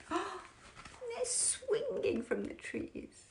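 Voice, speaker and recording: A woman reading a children's picture book as a bedtime storyteller, theatrical and drawn out, often dropping into breathy whispers. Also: very high voice, slight background noise.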